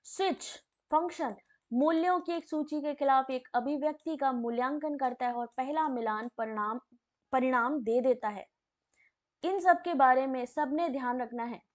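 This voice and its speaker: A woman with a high voice, teaching a live online exam class while hoarse from a sore throat. She reads quiz questions and answers, then turns to chat messages and calls viewers by name in a friendly, talkative way. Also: moderate pace. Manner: chatty, addresses viewers by name.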